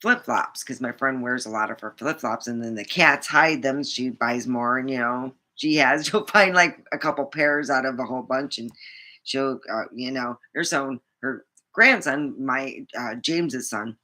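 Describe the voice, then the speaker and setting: A woman's voice: very deep voice, normal speed, sharp tone. A woman vlogger chatting casually and rambling, with many fillers and restarts.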